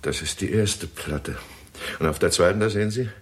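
An actor playing a brisk, courteous leading astronomer in a 1960s German radio drama. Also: male voice, low-pitched, close to an everyday voice. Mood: absorbed, puzzled. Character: Doctor Marlow, brisk leading astronomer at observatory. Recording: 1960s radio recording with background noise.

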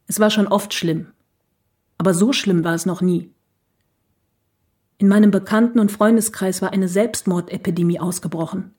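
An anguished woman in exile, played by an actress, with a medium-pitched voice. She speaks gravely in clipped phrases, bitter and fearful, as testimony in a radio play. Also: darkish tone, lightly acted. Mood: grim grief.

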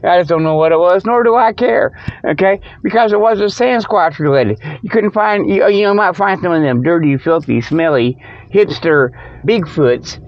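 Folksy guy doing a goofy comedy show, wanders off topic, chuckles at his own bits, highish voice, kinda dull lofi audio. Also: normal speed. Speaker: host of a comic Sasquatch-hunting show. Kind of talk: rambling comic monologue.

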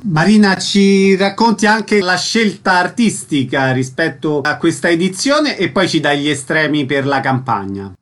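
Radio host, man, slightly high pitch, brief plain delivery. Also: animated, measured pace, few pauses, a bit bright. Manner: brief, plain questions and announcements. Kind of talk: radio interview.

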